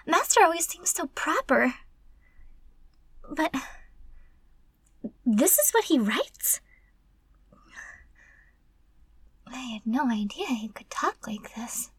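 A woman's voice: high voice, very animated, slow, long pauses, slightly rough, no echo, exaggerated voice. A woman playing a timid, curious, easily flustered female servant in an intimate audio roleplay, murmuring breathily and stammering. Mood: shocked fascination.

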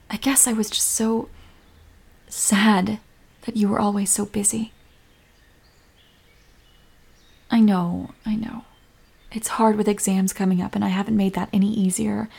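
A woman's voice: medium pitch, creaky, husky. A woman acting a hurt, loving girlfriend in a romantic audio roleplay, speaking emotionally and directly to her partner. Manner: emotional, swinging from sharp reproach to tender whispers. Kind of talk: scripted romantic audio roleplay, addressing the listener.

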